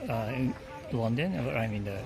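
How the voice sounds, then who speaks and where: Halting man interviewing tourists. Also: mid-range voice, expressive. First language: Japanese. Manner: halting, searching for words, many fillers. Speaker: street interviewer. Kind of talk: casual street interview.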